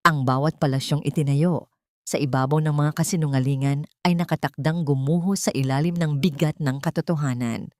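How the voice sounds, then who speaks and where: A woman's voice: low-pitched, mellow, no room sound, clean studio sound. A woman with a Filipino accent reading a dramatic scripted story as its narrator.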